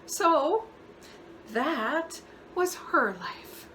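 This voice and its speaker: Casting: actress as bitter, guilt-laden mother in a stage-style monologue, bright higher voice, halting delivery, noisy home recording. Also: animated, exaggerated voice. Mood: bitter, pained. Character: bitter, guilt-ridden mother burdened by a troubled family. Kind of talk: dramatic acting monologue.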